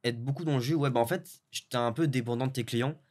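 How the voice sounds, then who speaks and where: A young man from French Guiana, a video editor, chatting in a relaxed way with lots of fillers and restarts. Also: mid-range voice, fast talker. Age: young adult. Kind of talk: casual interview chat.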